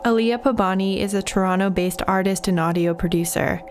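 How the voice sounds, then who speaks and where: A woman host reading a scripted guest introduction in a clear, measured way. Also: mid-range voice, slight background noise.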